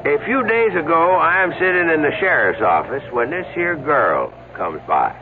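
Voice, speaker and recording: A folksy, slow-witted deputy sheriff drawls, stumbling over repeated words, his pitch rising and falling widely. The voice sits a little high. It comes through a tinny, phone-like old radio recording with clear hiss. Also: big pitch swings. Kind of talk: 1970s radio drama acting.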